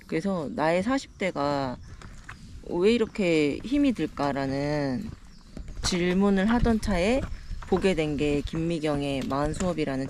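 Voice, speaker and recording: A woman's voice: fairly low pitch, darkish tone, a little hiss or hum. Reflective woman in her forties. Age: 40s. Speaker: vlogger. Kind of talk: casual chat while hiking. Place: mountain trail outdoors.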